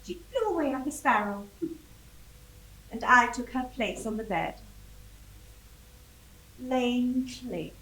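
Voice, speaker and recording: A woman's voice: a little high, expressive, fairly bright tone, heavily acted. An actress playing a proud, spirited colonial Rani, telling her story imperiously. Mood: proud, amused. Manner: imperious, deliberate, vividly dramatic storytelling. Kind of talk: theatrical monologue. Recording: echoey room, distant microphone.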